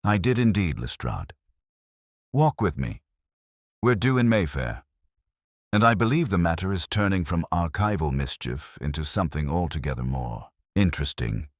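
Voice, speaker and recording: A very deep-voiced, brilliant detective of Victorian times, played by a male narrator. He speaks formally and deliberately, gentle yet commanding, with a slightly husky edge. It is a dry, close audiobook delivery. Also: lively intonation, dry recording. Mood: measured, intrigued. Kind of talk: audiobook character dialogue.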